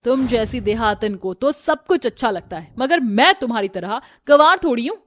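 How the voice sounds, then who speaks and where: A woman voicing a haughty, sharp-tongued young city daughter-in-law in a family story, snapping scornfully and mixing in English words. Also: on the high side, lively intonation, normal speed. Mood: scornful, bossy. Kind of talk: Hindi family storybook voice acting.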